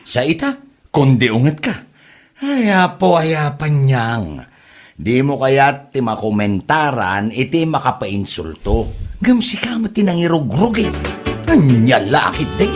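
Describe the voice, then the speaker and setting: A man's voice: mid-range voice, big pitch swings, a little slow, music in the background. A man playing a mocking, scolding village neighbour in an Ilocano comedy drama.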